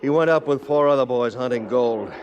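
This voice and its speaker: An actor playing a dogged frontier detective with an American accent in an old western radio drama, deliberate and insistent. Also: male voice, mid-range voice, dull audio, background noise, natural voice. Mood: stern, accusing. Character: dogged, probing frontier detective pressing for a confession.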